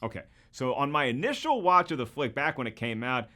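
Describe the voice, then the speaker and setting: Animated man hosting comedic commentary. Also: medium pitch, a little fast, slight background noise. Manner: wisecracking, mock-congratulatory, rhetorical questions. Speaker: host and comedian. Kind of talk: scripted comedic video essay commentary.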